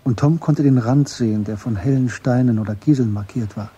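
A man narrating a German literary radio drama in a measured, understated way. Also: medium pitch, warm tone, breathy edge, dull audio, natural voice.

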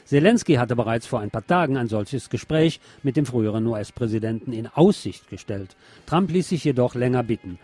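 Mellow-voiced man reading news commentary. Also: medium pitch, expressive, brisk, slightly rough, dry recording. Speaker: news presenter. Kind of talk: scripted news commentary read aloud.

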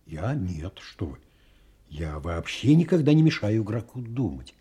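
A genial doctor in an audio play. The voice is very husky and dark, and it moves expressively in an easygoing, courteous way. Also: male voice, fairly low pitch, big pitch swings, a little slow, exaggerated voice. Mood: mildly surprised. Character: genial doctor, a card-game onlooker and friend.

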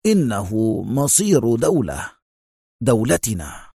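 A man's voice: on the high side, sing-song, fairly quick, a little mellow, husky, dry recording, studio quality, voice pushed up, heavily acted. A man playing a stern, sceptical consul in a dramatic audio story, with a firm, emphatic and authoritative way of talking.

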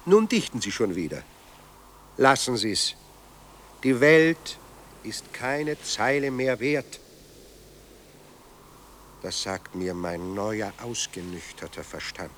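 A low-voiced, sardonic dead writer. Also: male voice, animated, takes it slowly, pauses often, a bit bright, slight background noise. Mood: scornful. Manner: ironic, eloquent, theatrical. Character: dead writer, newly sober, sardonic and bitter. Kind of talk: radio drama acting.

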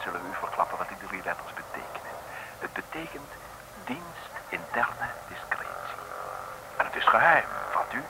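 A noisy vintage radio recording of a sly storyteller with a Dutch accent. His voice sits fairly low and swings about expressively as he confides in the listener like a tour guide to secrets. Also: gravelly, heavily acted. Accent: Dutch from the Netherlands. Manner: conspiratorial, addressing the listener. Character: sly conspiratorial storyteller guiding listeners around. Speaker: narrator. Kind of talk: 1950s-60s comic radio serial narration.

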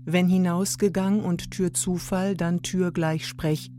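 Slow, mellow deadpan audio guide machine played by a woman. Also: lowish voice, a little hiss or hum, natural voice. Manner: deadpan, clipped broken grammar. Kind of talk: experimental radio drama.

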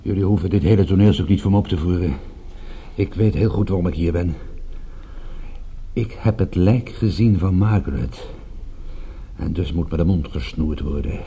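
An actor playing a wry, groggy captive private detective in a Dutch radio drama, with dry, sardonic understatement. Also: male voice, low voice, moderate pace, pauses often, dark tone, a little hiss or hum. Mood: defiant, sardonic. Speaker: narrator and lead character. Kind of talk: Dutch radio drama acting, first-person detective narration.

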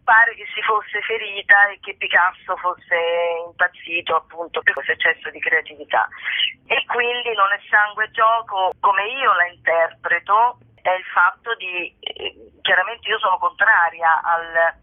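A woman's voice: medium pitch, unhurried. Woman on a tinny phone line, playful. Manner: animated, informal, playful, thinking aloud. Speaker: performer and playwright, interview guest. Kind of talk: casual spoken interview over the phone. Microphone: telephone call. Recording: tinny narrow-band telephone line.

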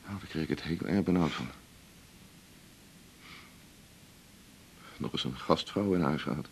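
A man's voice: rumbling low, fast, a little hiss or hum. A man acting a restless, self-absorbed doctor in a radio drama, musing and emphatic with a slightly theatrical touch. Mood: confessional. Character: restless, self-absorbed male doctor, earnest about marathon running.

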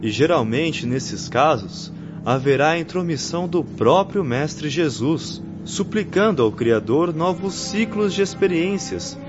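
An actor in a radio drama playing an earnest young spirit missionary who teaches patiently in a formal, solemn way. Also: male voice, fairly high pitch, lively intonation, measured pace, hardly any pauses. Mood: solemn, instructive. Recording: muffled lo-fi radio drama recording, faint music.

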